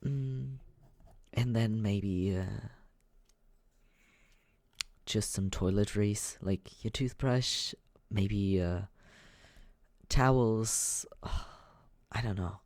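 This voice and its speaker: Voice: low-pitched, extremely slow, pauses often, darkish tone, no echo, clean studio sound, full character voice. An actor voicing a teasing but caring young companion in an intimate, conversational ASMR comfort roleplay, hesitant and reassuring. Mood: thoughtful.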